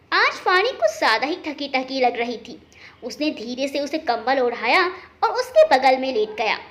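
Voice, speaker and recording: A woman narrates a family story from a script. Her voice is high and bright, and she reads expressively with very lively intonation.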